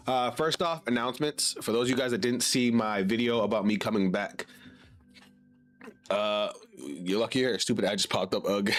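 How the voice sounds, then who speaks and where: A man streaming casually to his chat while congested and sick, laid-back and swearing now and then. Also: mid-range voice.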